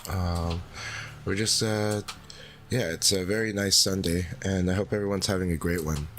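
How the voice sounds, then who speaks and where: A man hosting a livestream in a relaxed, rambling way, his voice deeper than usual after secondhand smoke. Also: normal speed, a little hiss or hum. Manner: relaxed, rambling, many fillers. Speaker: streamer. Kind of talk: casual livestream chat.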